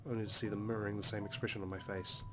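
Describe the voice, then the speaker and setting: A man doing a Let's Play, dramatically reading visual novel narration in a serious, measured storytelling manner. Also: on the low side, little pitch movement. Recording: loud music behind the voice. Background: game soundtrack music.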